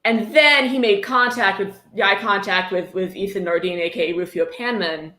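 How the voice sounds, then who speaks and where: A woman's voice: medium pitch, moderate pace, sharp tone. A woman hosting a casual livestream reading, adding wry, sarcastic and blunt commentary as she goes. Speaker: host reading a book aloud with commentary. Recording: roomy, echoey sound.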